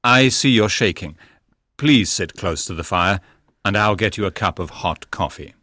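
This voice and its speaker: Guy doing a gentleman detective for an audiobook, low voice, lots of melody, calm n polite, very dry sound. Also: no room sound. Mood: gentle, reassuring. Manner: polite, measured, reassuring. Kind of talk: dramatised audiobook reading.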